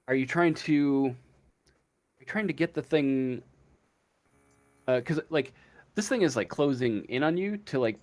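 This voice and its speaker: A man running a casual tabletop game as game master, improvising the narration in a hesitant, halting way. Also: medium pitch.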